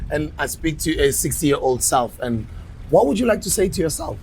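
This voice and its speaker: Animated South African man in his forties. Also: fairly high pitch, moderate pace, a little hiss or hum, low-quality recording. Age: 40s. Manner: animated, playful, laughs often. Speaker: podcast host. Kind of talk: casual interview chat.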